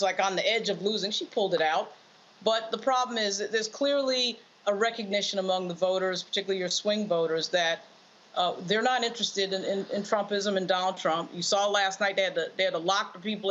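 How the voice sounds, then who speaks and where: Woman pundit, medium pitch, emphatic off-the-cuff political analysis, dull lo-fi call audio. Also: a bit bright, slight background noise. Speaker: political commentator on a news panel.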